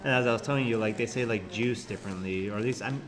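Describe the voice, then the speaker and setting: A man's voice: lowish voice, fast talker, a crowd in the background. A young man with a Californian accent, chatting casually in a hostel and rambling with lots of "like". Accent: Californian American English. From California, United States. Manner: rambling, heavy use of "like". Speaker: traveler in a group chat. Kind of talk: casual chat.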